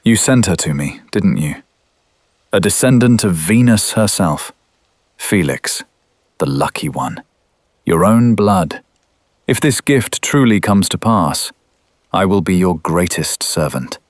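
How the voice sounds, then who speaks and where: An actor playing a cynical, cruel, scheming Roman patrician in an audio drama, with a mocking, theatrical delivery. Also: male voice, low voice, expressive, slow pace, pauses often, dry recording, clean studio sound, exaggerated voice. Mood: reverent ambition.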